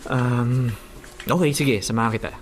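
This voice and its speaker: An actor playing a laid-back, evasive boyfriend in a Filipino radio drama, hesitant and casual, with a Filipino accent. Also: male voice, medium pitch, steady tone, normal speed, close to an everyday voice. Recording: prominent music behind the voice.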